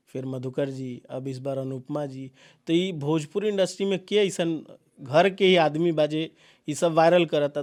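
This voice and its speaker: A man's voice: on the high side, a little mellow. A man with a Bhojpuri-region Indian accent, hosting and asking questions in a video news interview. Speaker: interviewer and host.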